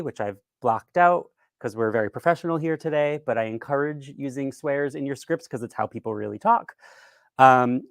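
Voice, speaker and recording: Male presenter, mid-pitched, conversational and explanatory, slightly hesitant, close dry recording. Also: dry recording. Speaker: writer-director giving a keynote talk. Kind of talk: informal instructional presentation. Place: virtual event, speaking from a dry room.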